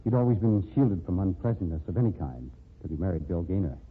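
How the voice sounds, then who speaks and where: A low, husky voice that swings widely in pitch carries the weariness of a bitter man back from the dead. It comes through a thin, tinny old radio recording. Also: lively intonation, fast. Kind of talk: 1940s radio drama acting.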